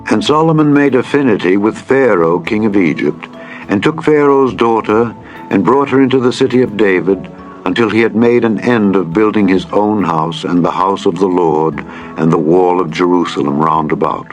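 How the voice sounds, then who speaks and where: A man reading scripture as a Bible narrator, solemn, measured and formal in a dramatized style. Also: on the low side, rough recording. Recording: music bed under the reading. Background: music.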